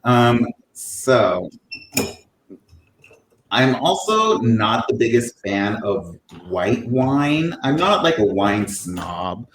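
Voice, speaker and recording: A man's voice: mid-range voice, very animated, extremely slow. A man co-hosting a casual podcast, talking in a playfully sarcastic, mock-bitter way. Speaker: podcast co-host. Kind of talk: casual chat. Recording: echoey room, faint ambient noise.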